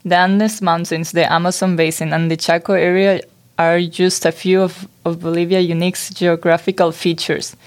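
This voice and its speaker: A young woman from Spain, a student guest reading prepared explanations in an even, informative way. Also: lowish voice. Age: young adult. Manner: even, informative, read aloud.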